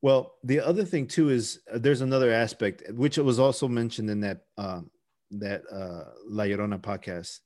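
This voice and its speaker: Male co-host with a medium-pitched voice, conversational, thinking aloud in a halting, circling way. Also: darkish tone. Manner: halting, circles back and repeats words while thinking. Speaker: podcast co-host. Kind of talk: casual conversational discussion of folklore.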